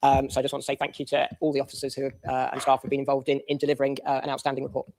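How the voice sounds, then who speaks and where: A man speaks semi-formally as a councillor, quick and fairly monotone. He is appreciative and often restarts and repeats his words. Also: mid-range voice, slight background noise, rough recording. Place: council chamber.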